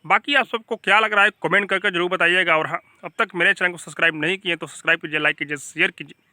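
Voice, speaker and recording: A man with a Bhojpuri accent hosts an informal gossip news update. His voice is high and strikingly bright, recorded very dry. He talks emphatically and directly to his viewers. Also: fairly even intonation, fast, no room sound. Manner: emphatic, repeatedly addressing viewers as brother. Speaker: YouTube channel host. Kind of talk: informal gossip news commentary to camera or voice-over.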